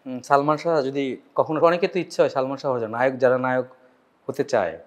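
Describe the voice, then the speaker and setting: A man's voice: medium pitch, fast, highs cut off. A man with a Bangladeshi accent hosting a casual celebrity interview in an informal, familiar way. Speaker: talk show host. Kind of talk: casual celebrity interview with rapid-fire questions.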